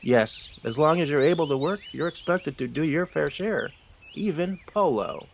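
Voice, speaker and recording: A man's voice: fairly high pitch, animated, normal speed, vocal fry, a little hiss or hum. A man voicing a seasoned, practical field mouse mentor in an audio drama, instructive and matter-of-fact. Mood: instructive, firm. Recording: faint outdoor ambience with birdsong.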